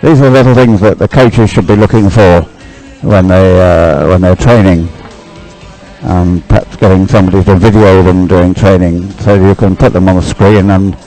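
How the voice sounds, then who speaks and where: Hesitant low-voiced male sports commentator. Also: fairly even intonation, normal speed, mellow, faint music behind, rough recording. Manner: hesitant, thinking aloud. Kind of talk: live race commentary.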